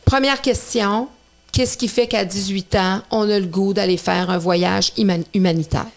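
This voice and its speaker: A woman's voice: medium pitch, steady tone, moderate pace, creaky. Chatty Québécois woman hosting a podcast. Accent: Québécois French. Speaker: host. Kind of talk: podcast interview.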